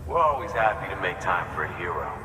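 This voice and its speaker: Enthusiastic game delivery recipient, fairly low pitch, friendly, reverberant, music behind. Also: male voice, very animated, quick pace, very noisy. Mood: eager, welcoming. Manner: enthusiastic, informal. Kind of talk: video game acting. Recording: echoey, distant, loud music behind.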